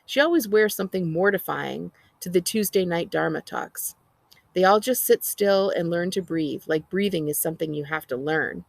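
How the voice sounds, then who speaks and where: A woman's voice: fairly low pitch, moderate pace, vocal fry, dry recording. An American woman voicing a sardonic, exasperated twelve-year-old girl narrating her life in a storybook read-aloud. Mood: annoyed. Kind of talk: expressive audiobook-style reading.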